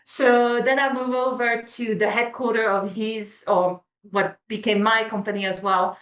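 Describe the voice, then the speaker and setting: A woman's voice: on the high side, level tone, measured pace, fairly bright tone. A woman in her forties from Switzerland, a guest in a casual interview, hesitant with many filler sounds. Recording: echoey room.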